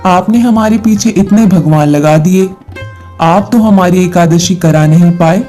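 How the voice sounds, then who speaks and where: Woman as a low-voiced, naive male devotee. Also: measured pace, natural voice. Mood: innocent complaint. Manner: naive, earnest, openly complaining to gods. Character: simple, innocent, plain-spoken male village devotee. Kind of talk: animated devotional moral story dialogue. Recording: music behind the voice.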